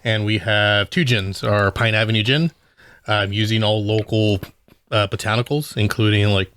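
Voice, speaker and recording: A man who tends bar, talking as a guest in casual chat, relaxed and rambling with lots of fillers. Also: lowish voice, normal speed. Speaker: bartender guest.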